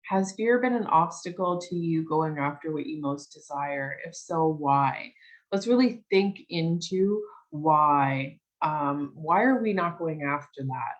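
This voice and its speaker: Slightly croaky woman leading a spiritual circle. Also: low-pitched, lively intonation, a little slow. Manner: gentle, reflective, with frequent "um" and restarts. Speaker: spiritual teacher leading a new moon circle. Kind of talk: casual workshop talk and reading aloud.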